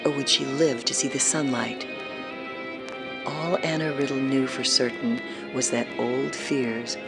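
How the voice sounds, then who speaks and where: Horror audio drama narrator: measured storytelling, suspenseful, mid-pitched, under a heavy music bed. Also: steady tone, creaky. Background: loud music.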